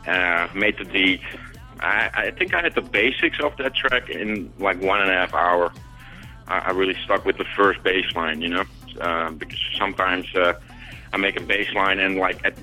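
Low man, bright tone, from the Netherlands, rambling casual talk, faint music behind. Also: poor audio. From Netherlands and South America. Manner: relaxed, rambling, many fillers and "you know". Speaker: music producer guest. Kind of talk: casual phone interview.

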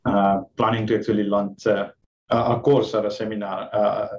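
A man from Nepal, an oncologist, answering interview questions softly and hesitantly with many fillers, on a remote call. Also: fairly low pitch, level tone, normal speed. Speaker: oncologist guest. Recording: distant, roomy, dull remote call.

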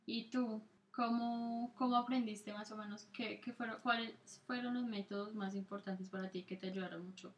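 A woman with a Colombian Spanish accent, co-hosting a casual interview and explaining things in a relaxed way with everyday examples. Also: mid-range voice, moderate pace, few pauses, dull audio. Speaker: podcast co-host.

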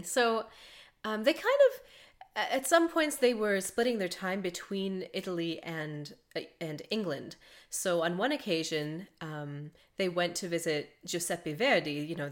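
A woman hosting a podcast, a mezzo-soprano telling a composer's life in a chatty way, laughing often between facts. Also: fairly low pitch. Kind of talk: conversational podcast storytelling.

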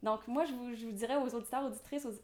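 A woman's voice: a little high, steady tone, a little mellow. A woman in her twenties with a Québécois French accent, a guest speaking enthusiastically and motivationally in an interview. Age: 20s. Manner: enthusiastic, motivational, fast talking. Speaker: guest, real estate developer. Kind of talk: podcast interview.

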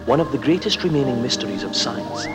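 Animated male science narrator, loud music. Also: medium pitch, fast. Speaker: documentary narrator.

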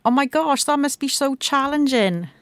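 A woman's voice: high voice, expressive, normal speed, no room sound. A woman interviewing a guest in a chatty, gushing way, full of exclamations and tag questions.

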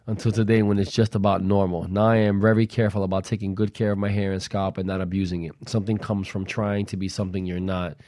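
A man with a fairly low voice, recorded dry and close. He speaks as a talk show panelist in a casual, conversational way, slipping into slang. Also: mellow, dry recording.

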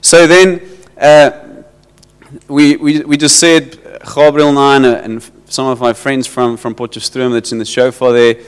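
A South African man leads his congregation with a loose, stop-start sermon, repeating himself as he finds his words. His voice is smooth and resonant. It carries a long reverb from the church hall around him. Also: medium pitch, level tone. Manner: halting, repeats words, switches into Afrikaans. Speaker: pastor.

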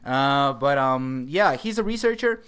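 A man hosting a casual podcast interview over an online call, speaking in a hesitant, halting way. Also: medium pitch, lively intonation, a bit bright, smooth. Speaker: podcast host.

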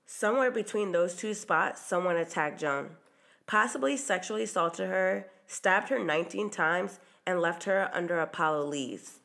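A woman's voice: lowish voice, normal speed, creaky, fairly bright tone. A woman hosting a true crime podcast, narrating in a casual, chatty way with occasional ums, her voice stuffy from a cold. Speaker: podcast host.

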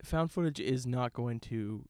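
Male film podcast co-host, mid-range voice, dry recording. Casual, measured, conversational, qualifies his opinions. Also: medium pitch, a little mellow, clean tone, dry recording. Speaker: podcast co-host reviewing films.

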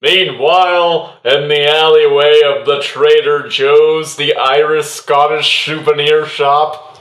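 Slow-paced male radio-play narrator. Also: a little high, level tone, fairly bright tone. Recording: echoey room with music.

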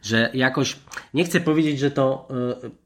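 A man discussing football as a panel pundit, unscripted and wordy, often breaking off and restarting his sentences. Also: mid-range voice. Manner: wordy, digressive, halting with repeated restarts.

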